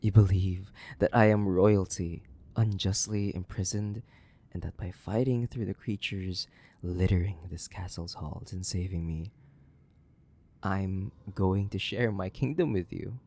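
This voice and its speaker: A man acts out an ancient, mocking vampire in a horror audio drama. The voice is very low and husky, drawling slowly with theatrical condescension, often sinking into a whisper. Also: warm tone. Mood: mocking, menacing. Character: sadistic, centuries-old imprisoned male vampire, mocking and bored. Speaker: voice actor.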